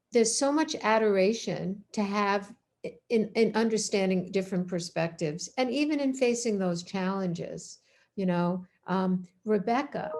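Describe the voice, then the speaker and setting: A woman speaking as a panelist on a video call, chatty, breaking off and restarting her thoughts. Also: medium pitch. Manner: warm-hearted, chatty, self-interrupting. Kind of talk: casual panel discussion.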